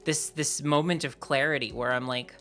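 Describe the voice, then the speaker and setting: A young woman streamer chatting casually and candidly with her viewers, her voice lowered by a sore throat, rambling with lots of "like" fillers. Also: steady tone, moderate pace, a bit bright, faint music behind. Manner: rambling and candid, heavy use of "like". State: sore throat, voice deeper than usual. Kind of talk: casual live-stream chat.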